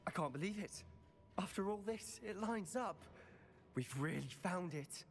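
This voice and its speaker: A man's voice: high-pitched, lively intonation, unhurried. A young man playing a determined, stubborn teenage schoolboy wizard in a video game, earnest and insistent. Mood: amazed. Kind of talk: dramatic video game voice acting. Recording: long reverb, music underneath.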